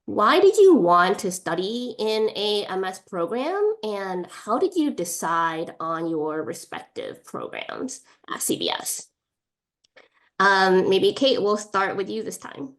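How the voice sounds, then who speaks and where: A woman's voice: mid-range voice, big pitch swings, slow pace. A woman hosting an online panel as moderator, polite and organized, with frequent fillers, on a video call. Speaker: moderator, admissions director.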